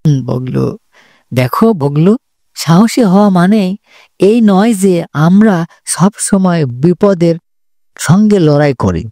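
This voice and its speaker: A patient uncle figure, played by a man, in a children's moral cartoon. He speaks very slowly with lively rises and falls, reassuring and instructive. The sound is dry. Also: high-pitched, no echo, exaggerated voice. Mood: encouraging. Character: patient, encouraging uncle mentoring his young nephew.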